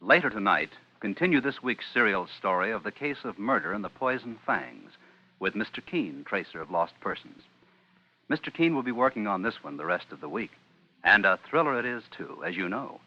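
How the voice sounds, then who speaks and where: A man's voice: on the low side, sing-song, a little fast. A man reading scripted 1950s radio network promotions with a punchy, selling delivery. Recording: muffled vintage radio broadcast.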